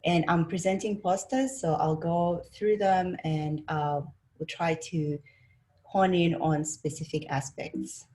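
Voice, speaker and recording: A woman from Rwanda giving an academic presentation over a video call, speaking in a measured way with frequent pauses and fillers. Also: fairly low pitch, fairly even intonation, a little mellow, rough recording. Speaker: presenter, design instructor.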